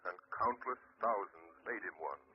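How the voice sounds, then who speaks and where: An actor playing a grim, moralizing avenger in 1940s radio acting, speaking solemnly with dramatic pauses. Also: male voice, low voice, lo-fi sound, a little hiss or hum, natural voice.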